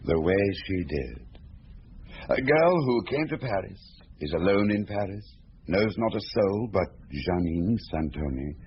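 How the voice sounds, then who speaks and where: A shrewd male confidant in a conversational radio drama. He reasons deliberately in a low, very expressive voice. The recording is slightly dull with faint hiss. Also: full character voice. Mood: thoughtful, probing. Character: shrewd, measured male confidant questioning a detective.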